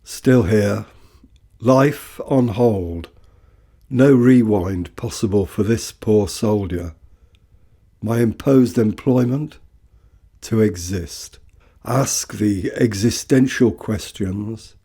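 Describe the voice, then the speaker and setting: A man recites war poetry in a soft, dark tone. His delivery is very slow and solemn, with measured, deliberate phrasing. The voice sits fairly low. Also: slightly rough.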